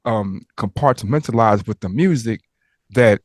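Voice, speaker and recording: Rambling, joking man host with a low voice. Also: unhurried, no room sound. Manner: rambling, joking, prone to tangents and stammers. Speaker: interviewer and host. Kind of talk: casual interview chat.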